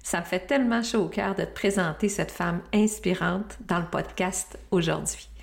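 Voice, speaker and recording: A woman's voice: fairly low pitch, moderate pace, creaky. A middle-aged woman with a Quebec French accent, hosting a podcast with effusive, encouraging introductions and questions. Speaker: podcast host, coach and speaker.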